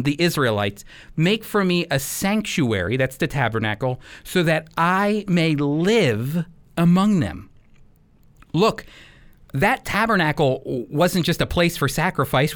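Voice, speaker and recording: A man giving sermon-like Bible teaching, explaining earnestly and emphatically and repeating key phrases. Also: on the high side. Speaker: Bible teacher and program host.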